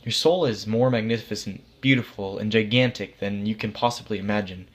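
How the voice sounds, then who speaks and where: A man's voice: lowish voice, brisk, close to an everyday voice. A man as a casual, reassuring God. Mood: calm, reassuring. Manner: matter-of-fact, patient explaining. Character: God as a casual, reassuring, vague authority figure. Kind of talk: acted storybook dialogue.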